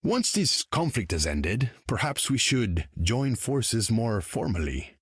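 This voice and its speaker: Low, husky undersea king. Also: sing-song, slow pace, no echo, studio quality, full character voice. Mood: courtly, amorous. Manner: lofty, formal, courtly. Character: proud, courting undersea king, regal and amorous. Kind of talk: video game voice acting.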